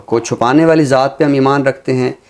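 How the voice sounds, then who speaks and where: A man speaking as a commentator on a literary show, in a deliberate, reflective way with emphatic phrasing. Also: medium pitch, steady tone, a little mellow. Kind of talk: reflective commentary and Urdu poetry recitation.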